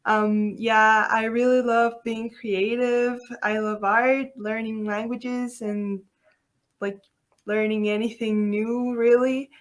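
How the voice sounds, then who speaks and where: Young woman from Ecuador, fairly high voice. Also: little pitch movement, takes it slowly. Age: young adult. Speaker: panel guest. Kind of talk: casual chat.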